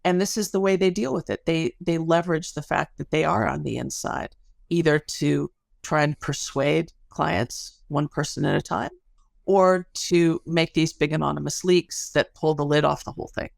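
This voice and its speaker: A woman's voice: low-pitched, steady tone, normal speed, gravelly, dry recording. A middle-aged woman speaking as an academic guest in a podcast interview, explaining things articulately through stories and analogies.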